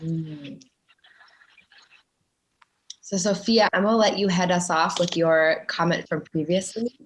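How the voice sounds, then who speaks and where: A low-pitched woman hosts an online meetup in a casual moderating style. Her video-call audio has faint noise and ambience behind it. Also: unhurried, rough recording. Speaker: meetup host. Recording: light background noise on call.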